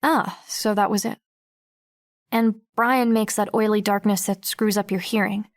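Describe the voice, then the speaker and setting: A woman playing a guarded, observant teenage girl who narrates an audiobook in the first person, reflective and measured. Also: mid-range voice, fairly even intonation, close to an everyday voice. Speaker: narrator and lead character.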